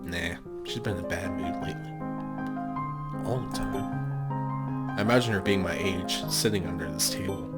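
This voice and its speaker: A man with a low-pitched voice hosts a game playthrough. He moves between offhand commentary and storytelling narration of on-screen text. Prominent game music plays underneath his voice. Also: normal speed, long pauses. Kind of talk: casual gaming commentary and read-aloud narration.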